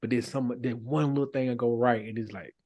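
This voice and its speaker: A man's voice: medium pitch, fairly even intonation, a little fast, darkish tone. An American man in a casual chat as a podcast guest, speaking candidly and colloquially, often repeating his phrases.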